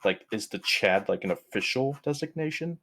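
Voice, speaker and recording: Animated low-voiced man at a game table. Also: moderate pace. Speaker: player in a streamed tabletop roleplaying game. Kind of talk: casual in-character game banter.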